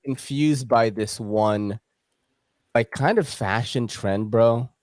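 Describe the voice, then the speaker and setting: A man's voice: medium pitch, slow, darkish tone, no echo. A man from the Philippines who moved to America as a child, hosting a casual podcast chat in a jokey, slangy, swearing way. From from the Philippines, moved to America at seven. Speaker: podcast host.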